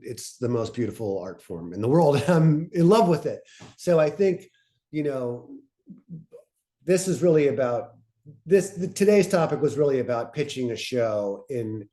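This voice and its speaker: A man speaking informally as a panelist, thoughtful and halting, often breaking off to rephrase his point. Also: mid-range voice, mellow. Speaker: panelist presenting on podcast pitching.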